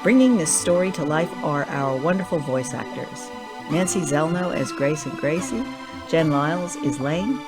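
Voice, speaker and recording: A woman's voice: low voice, lively intonation, moderate pace. A woman reading scripted narration and credits as the narrator of an audio drama. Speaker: narrator, writer and director. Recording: loud background music.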